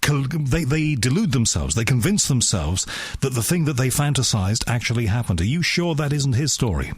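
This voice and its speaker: A man's voice: medium pitch, sing-song, normal speed, husky, dry recording, studio quality. A man hosting a radio talk show, questioning guests in a probing, skeptical way. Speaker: radio host. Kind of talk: radio interview.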